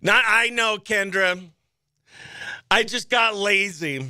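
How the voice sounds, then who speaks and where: A man's voice: sits high, takes it slowly, bright, forceful tone, clean tone, no room sound. A man hosting a live morning radio show, chatting exuberantly and slangily as he runs giveaways and phone-in games.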